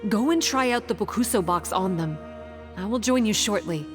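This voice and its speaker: A woman playing an earnest, guarded young priestess in a video game, speaking in a measured, formal, explanatory way. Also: mid-range voice, animated, natural voice. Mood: instructive. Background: music.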